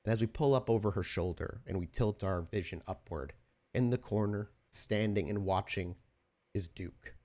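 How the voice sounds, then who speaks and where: A man with a slightly low voice speaks as the host and storyteller of a tabletop horror game podcast. He sounds like a game master at the table. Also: normal speed, darkish tone.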